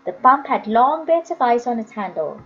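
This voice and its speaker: Lady reading a kids novel out loud, high voice, really expressive, slightly muffled audio. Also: normal speed. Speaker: audiobook narrator. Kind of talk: expressive children's novel narration.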